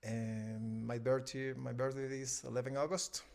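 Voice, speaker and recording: A Venezuelan man in his thirties, a native Spanish speaker, talking hesitantly in an interview and often pausing to find his words. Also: mid-range voice, flat delivery, vocal fry, darkish tone. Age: 30s. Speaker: interview guest, film editor. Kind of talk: casual interview conversation.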